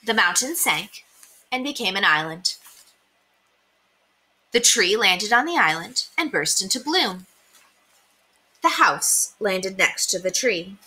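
A young woman reading children's stories aloud as an expressive storyteller. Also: medium pitch, slow, pauses often, husky, rough recording. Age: young adult. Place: small indoor room.

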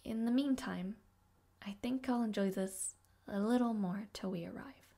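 A woman's voice: mid-range voice, moderate pace, gravelly. A woman playing a devoted young maid of noble birth in an audio roleplay, speaking politely and formally, with shy hesitations. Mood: soft, shy. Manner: polite, soft-spoken, flustered hesitations, formal diction. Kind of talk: audio roleplay addressed to the listener.

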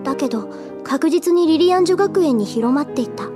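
A woman's voice: sits high, expressive, normal speed, over music. A woman playing an earnest, easily flustered teenage schoolgirl in an anime audio drama. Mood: solemn narration. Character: earnest, flustered, chatty teenage schoolgirl who also narrates.

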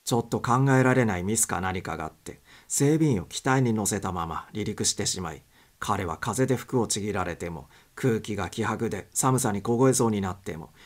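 A man with a low-pitched voice narrates a suspense story. He reads from a script as an audiobook narrator, his voice sitting deep in the male range.

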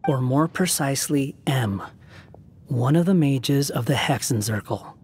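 A man's voice: medium pitch, expressive, unhurried, a little mellow, a little hiss or hum, lightly acted. An actor playing an aloof, guarded young male wanderer in an anime game, terse and composed. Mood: matter-of-fact.

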